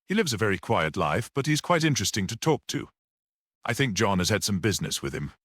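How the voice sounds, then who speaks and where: Man reading as a reflective gentleman, lively lilt. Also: on the low side, brisk, no echo, clean studio sound. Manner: tactful, musing. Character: smooth, reflective, well-dressed bearded young gentleman.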